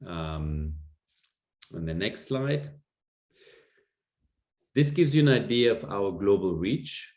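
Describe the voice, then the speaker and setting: A man's voice: fairly low pitch, lively intonation, slow, pauses often, a little mellow. A man with a German accent giving a masterclass presentation, hesitant with many ums and restarts. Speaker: lecturer. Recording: echoey room.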